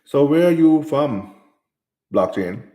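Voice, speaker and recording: A man's voice: a little high, animated, slow pace, warm tone, echoey room. A man from Jamaica hosting a live stream, reading viewer comments aloud and answering them in casual chat.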